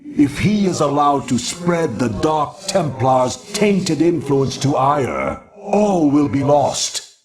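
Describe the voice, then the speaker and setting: A man's voice: a little high, animated, slow, a crowd in the background. A man voicing a stern, haughty alien judicator in a video game, speaking in formal, pompous declamation. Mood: grave, wary.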